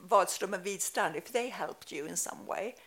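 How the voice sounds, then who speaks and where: Gravelly woman asking tentatively. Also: mid-range voice, animated, moderate pace, a bit bright. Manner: tentative, searching for words. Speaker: audience member asking a question.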